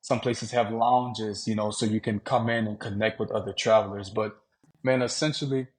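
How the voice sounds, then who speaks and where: A man from Louisiana, a podcast guest, talking about travel in a relaxed, conversational way, often saying "man" and "you know". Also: on the low side, normal speed. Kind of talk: casual interview talk.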